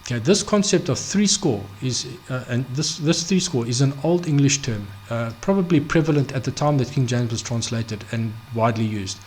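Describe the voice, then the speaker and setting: A man teaching a Bible study off the cuff, halting, full of restarts, fillers and "okay?" check-ins. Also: mid-range voice, animated, darkish tone, slight background noise. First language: not Hebrew. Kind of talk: unscripted screen-share Bible study.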